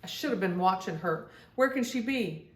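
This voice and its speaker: A woman's voice: medium pitch, expressive, moderate pace, reverberant, recorded from a distance, close to an everyday voice. A woman voicing a protective, serious young girl in a children's storybook, earnest and a little defensive. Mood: worried.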